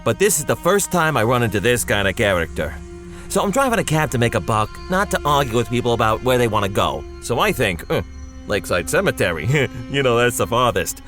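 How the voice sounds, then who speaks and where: An actor playing a talkative, wary, working-class cab driver in a radio drama, with slangy, blunt, folksy phrasing. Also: male voice, mid-range voice, very animated, brisk, fairly bright tone, faint music behind. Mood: wry storytelling. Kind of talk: old-time radio drama acting and narration.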